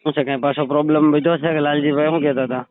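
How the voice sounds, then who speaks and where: Fast, high-pitched man on phone. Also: little pitch movement, no room sound. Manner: repeatedly seeks agreement with tag questions. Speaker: caller. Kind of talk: casual phone conversation. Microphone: phone call. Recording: dull, lo-fi phone call recording.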